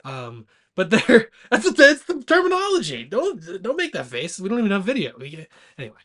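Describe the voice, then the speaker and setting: A man's voice: high-pitched, huge pitch swings, a little fast, bright, forceful tone. A man co-hosting a movie podcast, chatting casually in a loose, rowdy, irreverent way, a little tipsy from beer. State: tipsy after a couple of beers. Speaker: podcast co-host.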